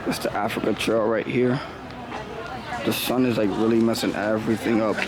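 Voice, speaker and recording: A man, hoarse from losing his voice, casually vlogging his walk around a city zoo in laid-back slang. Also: lowish voice, fairly even intonation, normal speed, crowd noise. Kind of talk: casual vlog narration.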